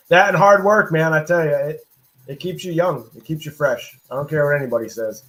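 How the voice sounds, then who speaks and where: A man in his late thirties talks casually from his living room, laid-back and easygoing. His voice sits somewhat high for a man. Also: normal speed, fairly bright tone. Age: 30s. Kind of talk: casual chat.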